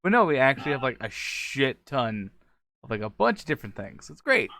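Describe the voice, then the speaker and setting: A man's voice: medium pitch, sing-song, fairly quick, pushed and bright. An American man from the Midwest chatting casually as a podcast host. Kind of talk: casual chat.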